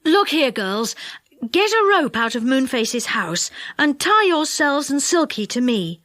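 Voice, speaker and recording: A woman's voice: high voice, sing-song, fairly bright tone, no room sound, studio quality, exaggerated voice. A woman voicing an eager young boy in a storybook who gives the orders. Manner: brisk, commanding.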